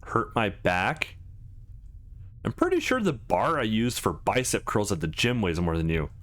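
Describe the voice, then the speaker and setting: A man's voice: fairly low pitch, big pitch swings, measured pace, a bit bright. Man playing a confident, teasing young guy. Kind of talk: visual novel character read-aloud.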